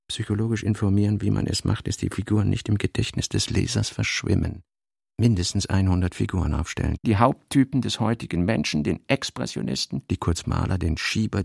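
Brooding male novelist, gravelly and deliberate, close dry sound, literary radio drama. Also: on the low side, no room sound, heavily acted. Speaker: radio play reader. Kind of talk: literary radio reading of narration and working notes.

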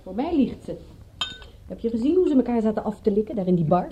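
An actress in a Dutch radio play voicing a detective's brisk, blunt and teasing female companion with a husky voice. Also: a little high, animated, warm tone, a little hiss or hum, natural voice. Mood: breezy, suspicious. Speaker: radio drama actor. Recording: muffled old radio recording.

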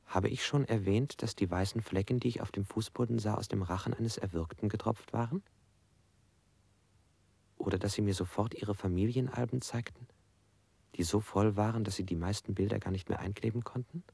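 A bound prisoner in a radio play, his deep voice gravelly and husky. He talks in a halting, bitter, musing way. The recording is close and dry. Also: mellow, no room sound. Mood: brooding, bitter. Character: bound, beaten male prisoner under interrogation. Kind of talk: radio drama acting.

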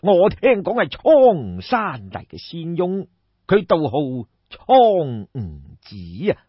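A man voicing a respectful, smooth-tongued courtier of ancient China in an audiobook, measured and earnest. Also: on the high side, wildly swooping pitch, full character voice. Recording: dull, roomy audiobook recording.

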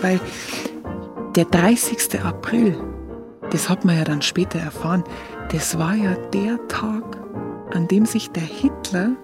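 An actress in a radio drama playing a candid, naive young photographer with a Bavarian accent, recounting her memories in halting colloquial speech. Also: on the low side, natural voice. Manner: halting, confiding. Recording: music behind the voice.

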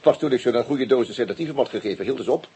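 An actor playing a fussy, hesitant police doctor who stammers in a radio play. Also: male voice, medium pitch, animated, rapid-fire, mellow, a little hiss or hum, lightly acted. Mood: flustered.

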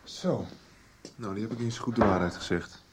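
An actor playing a brusque, evasive young son in a radio drama, blunt and halting. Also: male voice, lowish voice, roomy sound, very noisy, lightly acted. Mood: angry, defiant.

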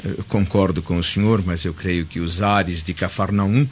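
An actor with a Brazilian accent playing a stern, protective Roman senator in a radio drama, speaking with formal, measured diction. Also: male voice, deep voice, steady tone, husky. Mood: courteous, formal. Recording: dull lo-fi radio drama with music.

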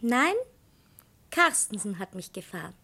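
A woman's voice: medium pitch, sing-song, measured pace, gravelly, bright, forceful tone, exaggerated voice. A woman playing a dreamy, riddling child in a literary radio drama, talking in clipped, cryptic, poetic phrases. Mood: cryptic, pointed.

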